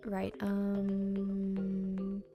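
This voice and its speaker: A woman's voice: mid-range voice, completely flat delivery, drawn-out words, muffled recording, music in the background. A woman streamer casually reading a game aloud as she plays through it. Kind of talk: game playthrough narration, casual.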